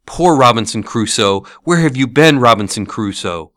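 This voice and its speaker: Storybook old miser man, performed by a male reader: bright, forceful, emotional, exclaiming with boyish wonder and regret. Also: medium pitch, normal speed, close to an everyday voice. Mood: excited, nostalgic. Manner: excitable exclamations, between laughing and crying.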